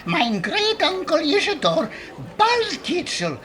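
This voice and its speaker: Very high-voiced jolly hot dog vendor. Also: male voice, huge pitch swings, slow, bright, forceful tone, full character voice. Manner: chuckling, sing-song, quaint phrasing. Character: cheery chuckling hot dog vendor, Mister Kitzel. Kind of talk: 1940s radio comedy acting. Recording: live audience, laughing crowd behind.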